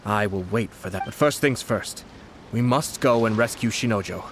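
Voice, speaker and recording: An actor playing a composed, shrewd young noble commissioner in a video game, speaking in a measured, formal way. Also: male voice, on the low side, slight background noise, lightly acted. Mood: calm, analytical. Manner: measured, formal, calmly calculating. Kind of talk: English video game voice acting. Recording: music under the dialogue.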